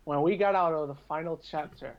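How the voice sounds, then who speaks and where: A man from Portugal chatting casually about films, telling stories in an animated, emphatic way as a podcast guest. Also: a little high, a little fast, dull audio, a little hiss or hum. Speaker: podcast guest and film reviewer. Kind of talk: casual film chat.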